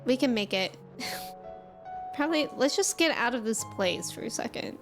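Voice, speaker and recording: A young woman streaming a game, chatting casually and excitedly with lots of "like"s. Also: a little high, moderate pace. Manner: chatty, excitable, says "like" a lot. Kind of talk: casual gameplay commentary. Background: game music.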